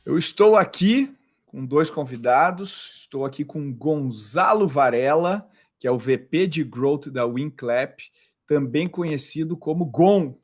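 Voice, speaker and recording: A man's voice: fairly high pitch, lively intonation, unhurried, soft background music. A Brazilian man hosting a podcast interview, talking casually and often restarting and repeating words. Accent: Brazilian Portuguese. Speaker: podcast host.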